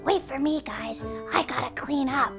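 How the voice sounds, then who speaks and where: A woman's voice: high-pitched, normal speed, vocal fry, music in the background. A woman play-acting a whiny, protesting young child in a drama. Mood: apologetic.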